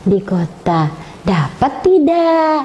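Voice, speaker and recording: A woman hosting a children's storytelling show, animated and coaxing, prompting a young storyteller. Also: sits high, slow. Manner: animated, coaxing, often laughing. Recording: faint background noise.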